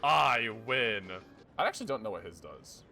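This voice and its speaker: Young male streamer, casual, swears, animated delivery over game music. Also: medium pitch, normal speed, creaky. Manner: thinks aloud, swears, chuckles often. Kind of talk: casual live gameplay commentary.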